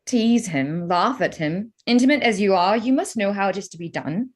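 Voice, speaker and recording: A woman's voice: medium pitch, animated, normal speed, close to an everyday voice. A woman playing a witty, teasing, spirited young lady in a period drama reading, dry, playful and composed.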